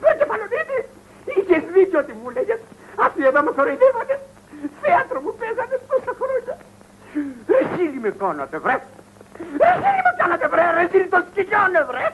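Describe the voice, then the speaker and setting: A man's voice: very high-pitched, very animated, fairly bright tone, slight background noise, heavily acted. An actor playing a hapless, self-pitying, hot-tempered middle-aged man in a theatrical comedy film, with a wailing, repetitive delivery. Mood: wounded, indignant. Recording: muffled old film soundtrack, faint music.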